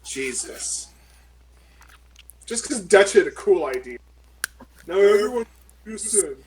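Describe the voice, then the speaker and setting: A man playing a tabletop game, chatting casually and thinking aloud in halting phrases. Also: sits high, lively intonation, darkish tone, slight background noise, low-quality recording.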